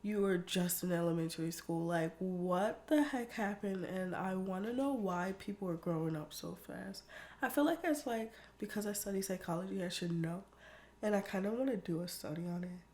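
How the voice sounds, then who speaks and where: A woman's voice: medium pitch, moderate pace, warm tone. A young woman from the United States chatting casually on a podcast, full of fillers and frequent laughter. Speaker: podcast guest.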